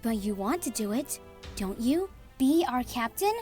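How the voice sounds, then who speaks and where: A woman voicing a gentle, thoughtful female alien crewmate with careful, precise phrasing, in a fan comic dub. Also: on the high side, big pitch swings, measured pace, soft background music. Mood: uneasy, gentle.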